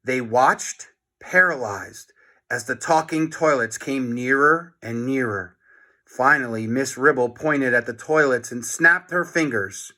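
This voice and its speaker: A man reading a children's book aloud in an expressive, dramatic narration, with asides to young listeners. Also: medium pitch, unhurried.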